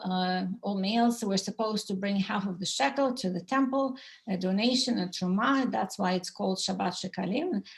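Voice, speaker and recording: A woman from Russia with a Russian accent, leading an online prayer service over a video call and speaking haltingly with many fillers. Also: medium pitch.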